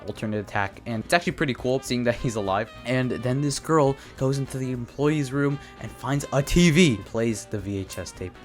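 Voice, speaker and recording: A man giving a casual, rambling, sweary review commentary as an online video reviewer. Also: medium pitch. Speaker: YouTube reviewer of a horror series.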